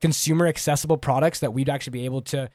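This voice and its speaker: A young man co-hosting a casual tech podcast, chatty and quick, a little under the weather. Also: mid-range voice, steady tone, a little mellow, dry recording, studio quality. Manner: quick, chatty, many restarts and "like"s. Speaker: podcast co-host.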